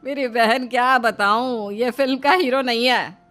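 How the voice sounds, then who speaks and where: A woman acts a middle-aged mother-in-law from a cartoon tale. She is caring yet easily exasperated, with a fairly high voice and soft music behind. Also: unhurried. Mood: explaining. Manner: motherly, remonstrating.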